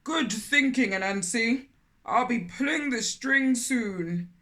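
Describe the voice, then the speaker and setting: A woman's voice: a little high, expressive, slow, a bit bright. A woman voicing a friendly, eager elephant in a children's storybook, speaking out in an emphatic, welcoming way.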